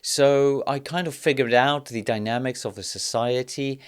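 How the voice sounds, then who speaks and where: A man in his thirties from South Africa and Poland, hosting a podcast and telling his life story in a measured, reflective way, pausing often on "uh". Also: mid-range voice, clear, resonant tone. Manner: measured, reflective, with frequent "uh" pauses. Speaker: podcast host. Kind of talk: personal storytelling monologue.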